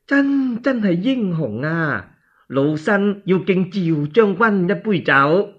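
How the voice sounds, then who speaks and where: A man voicing a doting, quick-tempered elderly noblewoman in Cantonese, exclaiming in drawn-out, imperious phrases for a radio story. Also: high-pitched, animated, slightly rough, pitched above the performer's own voice, heavily acted. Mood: impressed. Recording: dull lo-fi radio recording.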